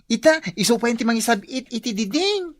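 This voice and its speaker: An actress playing a nosy, irritable daughter with an Ilocano accent in a comedy radio drama, loud and complaining. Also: female voice, a little high, lively intonation, brisk, close to an everyday voice. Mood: suspicious, grumbling.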